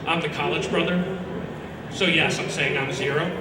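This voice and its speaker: A loud young man giving a rambling, animated, informal talk about video games to a live audience, acting out characters as he goes. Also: fairly high pitch, normal speed, background noise. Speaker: speaker presenting a ranked list. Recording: very echoey hall, distant mic, loud music behind.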